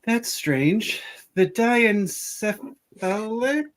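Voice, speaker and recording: Animated woman as a female starship doctor. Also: mid-range voice, very slow. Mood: puzzled, focused. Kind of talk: amateur podcast radio play reenactment.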